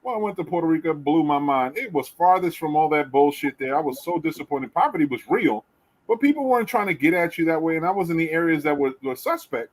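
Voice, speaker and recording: Animated man telling stories on a podcast. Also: a little high, fast, low-quality recording. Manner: animated storyteller, swears casually. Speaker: podcast host. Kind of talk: casual chat.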